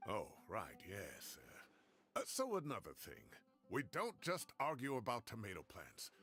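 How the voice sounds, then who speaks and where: Older retired professor, theatrical grumbler, swinging intonation, indignant, game dialogue, light music underneath. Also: male voice, fairly low pitch, huge pitch swings, unhurried, sharp tone, full character voice. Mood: indignant, flustered. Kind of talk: video game voice acting.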